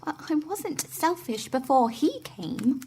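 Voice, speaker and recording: High-pitched, dramatic young girl. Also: sing-song, slow pace, dark tone, a little hiss or hum, heavily acted. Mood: hurt. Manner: emphatic, complaining. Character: indignant, dramatic young girl, self-righteous daughter. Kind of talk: family audio drama acting.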